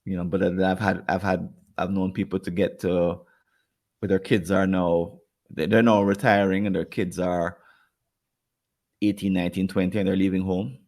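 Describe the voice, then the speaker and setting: A man with a Jamaican accent and a very low, gravelly voice, chatting on a podcast. He rambles and doubles back on his words as he works out a point. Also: flat delivery, moderate pace, darkish tone. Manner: rambling, repeats words while thinking, acts out quoted people. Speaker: podcast co-host. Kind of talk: casual podcast conversation.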